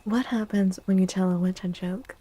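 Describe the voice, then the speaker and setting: A woman speaking in a soft ASMR style as a streamer, breathy and often near a whisper, gentle and playfully theatrical with drawn-out words. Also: mid-range voice, steady tone. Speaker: streamer hosting a tarot and astrology stream. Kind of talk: soft-spoken ASMR, casual live stream chat.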